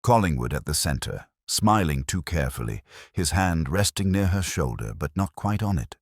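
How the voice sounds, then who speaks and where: A man reading first-person detective fiction as narrator, in a measured, reflective, literary manner. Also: rumbling low, very animated, breathy edge, no echo, clean studio sound.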